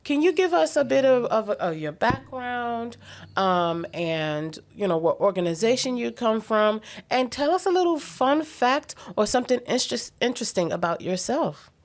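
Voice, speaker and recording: A woman's voice: fairly high pitch, animated, normal speed. A woman with a Bermudian accent hosting a health radio show, interviewing a guest and talking to listeners with frequent ums. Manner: warm-toned hostess, laughs easily, many ums.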